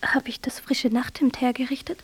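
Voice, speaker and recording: A woman's voice: high-pitched, level tone, moderate pace, whispered, close to an everyday voice. An actress playing an airy, contented society lady musing lightly on trifles in a radio play. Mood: airy, languid. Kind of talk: radio play inner monologue. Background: instrumental music.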